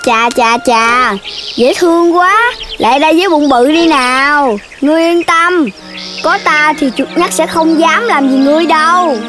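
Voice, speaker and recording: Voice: moderate pace, music in the background, natural voice. A performer voicing a boastful, squabbling childlike animal character in a children's cartoon, with exclamatory delivery. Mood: coaxing, boastful. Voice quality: childlike, very high. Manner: exclamatory, bragging, petulant.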